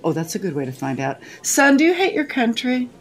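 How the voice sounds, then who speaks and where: A woman's voice: a little high, huge pitch swings, a little fast. The older woman alone at a restaurant table in a radio comedy, answering the staff in clipped, prickly, sardonic remarks. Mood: mocking, exasperated. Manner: dry, curt, sarcastic. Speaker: actress playing a diner. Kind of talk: radio play comedy acting.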